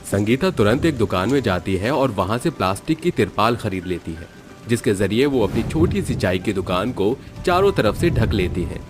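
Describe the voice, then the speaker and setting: Low steady guy narrating a cartoon story, soft music behind. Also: moderate pace. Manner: steady, matter-of-fact.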